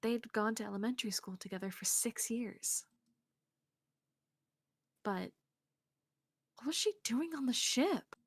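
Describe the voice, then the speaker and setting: A woman reading visual novel narration aloud on a game stream in an expressive way, close to the mic. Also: fairly high pitch, unhurried, long pauses, breathy edge, no echo. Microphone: close streaming mic, dry sound.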